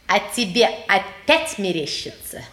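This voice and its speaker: A woman's voice: medium pitch, big pitch swings, unhurried, a bit bright. An actress playing a bitter, impatient, defiant woman with a curt, exasperated manner in a 1950s Russian stage play.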